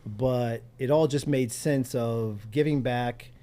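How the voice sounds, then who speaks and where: Mellow middle-aged man, interview guest. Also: mid-range voice, slow. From Pennsylvania, United States. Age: middle-aged or older. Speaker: interview guest, community organizer. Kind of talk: conversational interview answers. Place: radio studio.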